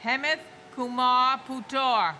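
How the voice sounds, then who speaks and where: A woman in her sixties reads names at a memorial ceremony and speaks slowly, solemnly and emphatically as a victim's relative. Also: high-pitched, steady tone, pushed and bright, a little hiss or hum. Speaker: victim's family member reading names. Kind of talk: memorial ceremony name reading and speech.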